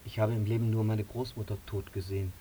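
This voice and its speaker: Actor as guilt-ridden, halting air traffic controller. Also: male voice, fairly low pitch, little pitch movement, mellow, close to an everyday voice. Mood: haunted. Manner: halting, pleading, anguished. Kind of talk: radio drama acting.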